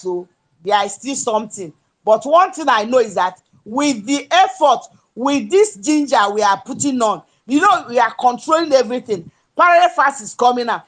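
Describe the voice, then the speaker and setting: A Nigerian woman giving an impassioned political speech in an audio chat room, emphatic and at times shouting. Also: on the high side, lively intonation, normal speed. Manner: emphatic, rises to shouts, pidgin-tinged. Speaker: speaker in a political audio space.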